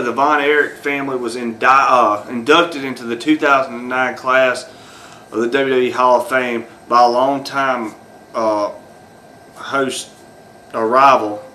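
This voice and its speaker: A man's voice: medium pitch, steady tone, a little slow, long pauses, pushed and bright, a little hiss or hum, poor audio. A man talking off the cuff as host of his own video, rambling with many fillers and restarts. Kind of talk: unscripted solo commentary.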